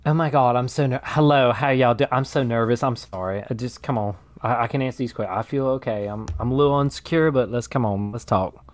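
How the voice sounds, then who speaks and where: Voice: medium pitch, fairly quick, no echo, lightly acted. A performer doing a stammering, flustered suspect girl. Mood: nervous. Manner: stammering, defensive, drawling slang. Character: nervous, flustered young woman murder suspect. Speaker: interviewee.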